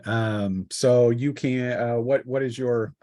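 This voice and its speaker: A man with a mid-range voice works as game master at a tabletop session. He improvises the narration as he goes, in a halting, hesitant way, feeling for each phrase. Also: medium pitch, steady tone, warm tone, no echo.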